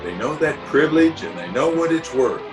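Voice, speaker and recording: A man's voice: on the high side, very animated. A man playing a blustery, genial middle-aged small-town uncle in a pompous, theatrical 1940s radio comedy style. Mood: earnest. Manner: pompous, theatrical, with drawn-out hesitations and chuckles. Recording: music behind the voice, remote home recording.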